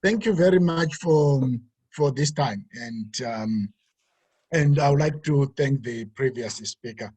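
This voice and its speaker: A man's voice: medium pitch, slow, a little mellow, slightly rough, no echo. A man from Zimbabwe, a pastor, speaking in a webinar with many fillers and restarts. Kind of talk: webinar talk.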